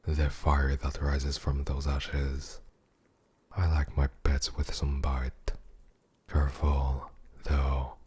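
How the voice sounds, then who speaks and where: A man acts out a menacing mafia boss in an ASMR roleplay, with an extremely low, husky and breathy voice. He murmurs in a narrow, controlled range, possessive and seductive, talking down to his captive with intimate condescension. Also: monotone, slow pace, a little mellow, full character voice.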